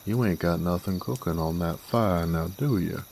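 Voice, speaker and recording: A man playing a genial, smooth-talking cowboy drifter with an American Western drawl, courteous and wordy in an audio roleplay. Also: sits low, darkish tone, slight background noise. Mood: amiable, teasing. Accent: American Southern/Western drawl. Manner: folksy, courteous, wordy, unhurried. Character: smooth-talking male werewolf bounty hunter drifter, genial Western wanderer. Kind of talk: Western audio roleplay, acted monologue to listener.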